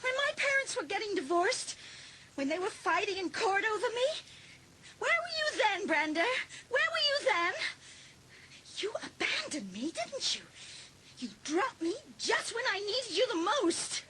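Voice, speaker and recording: Shrill, unhinged teenage girl. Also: big pitch swings, a little slow, pushed and bright, full character voice. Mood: bitter, wounded. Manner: melodramatic, swinging from hissed whispers to shrieks. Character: unhinged, bitterly resentful teenage girl, vengeful and hysterical. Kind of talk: dramatised audiobook acting.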